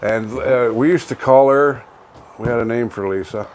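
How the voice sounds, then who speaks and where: A man's voice: mid-range voice, normal speed, darkish tone. An older man with a Canadian accent co-hosts a local online radio show in a folksy, rambling, casual chat style. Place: home studio.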